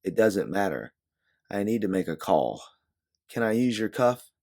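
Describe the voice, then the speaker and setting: A man's voice: fairly low pitch, sing-song, unhurried, darkish tone, full character voice. A man voicing a hurt, weary fugitive woman in an audio storybook, terse and guarded. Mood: strained, evasive.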